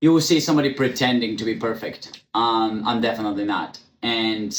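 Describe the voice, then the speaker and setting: A man's voice: lowish voice, fairly even intonation, moderate pace. A man hosting his own podcast, speaking English as a second language, talking frankly with stutters, restarts and many ums, now and then swearing. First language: not English. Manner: frank, blunt, swears casually. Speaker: podcast host. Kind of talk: candid solo podcast monologue. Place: room, recording on camera. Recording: echoey room, faint ambience.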